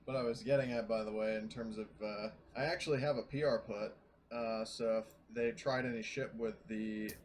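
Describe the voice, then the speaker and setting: A man's voice: lowish voice, fairly even intonation, moderate pace, a little hiss or hum. A man chatting casually as a player in a tabletop roleplaying game, explaining his options in an informal, matter-of-fact way.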